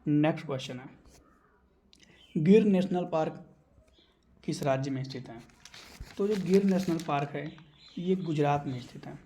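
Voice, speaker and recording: A man's voice: on the high side, normal speed, pauses often, warm tone, slight background noise. A man teaching exam questions, explaining answers and repeating key terms so they stick.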